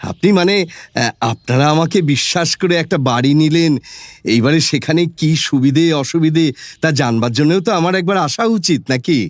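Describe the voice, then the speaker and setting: An actor playing an ingratiating house broker with mock-hurt, oily politeness in an audio drama. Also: male voice, a little high, normal speed, no room sound, studio quality, close to an everyday voice. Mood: fawning, wounded.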